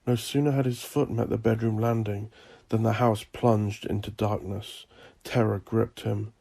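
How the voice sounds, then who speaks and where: Low, mellow, gravelly man narrating horror. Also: flat delivery, a little slow. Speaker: audiobook narrator. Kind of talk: scripted dark fantasy horror narration.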